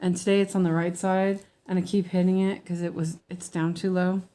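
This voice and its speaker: A woman's voice: lowish voice, flat delivery, a little fast, mellow. An American woman chatting in a rambling, meandering way with her viewers as she stitches during a casual livestream.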